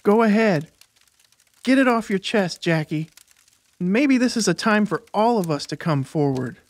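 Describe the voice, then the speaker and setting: Woman acting as a slow-speaking, earnest teenage student. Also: mid-range voice, animated, dry recording, natural voice. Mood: encouraging. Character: supportive, upset teenage student at bonfire. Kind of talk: dramatized audiobook acting.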